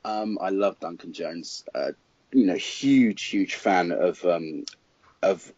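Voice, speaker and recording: A man's voice: low voice, slow pace, a little mellow, husky, no room sound. A man speaking as a film critic guest on a casual podcast, giving emphatic, opinionated verdicts. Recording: dull lo-fi podcast audio.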